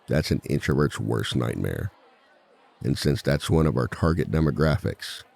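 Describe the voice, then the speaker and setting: Man playing a very deep, gravelly villain lecturer. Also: warm tone, exaggerated voice. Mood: smug, assured. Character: suave male villain giving a dating seminar to fellow villains. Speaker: seminar speaker and relationship expert. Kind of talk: scripted ASMR roleplay monologue, seminar lecture.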